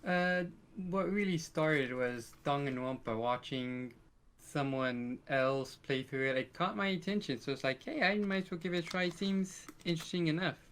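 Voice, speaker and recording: A man's voice: fairly high pitch, moderate pace, a bit bright, clear, resonant tone. A man chatting casually as a guest on a podcast. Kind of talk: casual chat.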